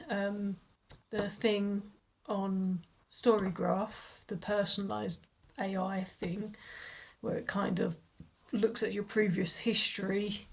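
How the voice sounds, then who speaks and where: A woman's voice: mid-range voice, vocal fry, breathy edge, rough recording. A woman reviewing a book in a casual chat to camera at home, speaking with a stuffy nose from a cold, rambling and restarting her words with frequent laughs.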